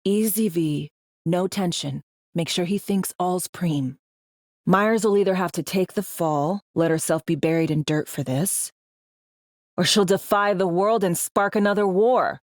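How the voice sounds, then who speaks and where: A tough, sardonic spy handler from a cyberpunk video game, acted by a woman. Her voice sits fairly low in a very dry studio sound. She talks in clipped slang, bossy and teasing, like someone used to running operations. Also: measured pace, a little mellow, no echo, close to an everyday voice. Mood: probing, serious. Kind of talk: video game voice acting, briefings and radio guidance.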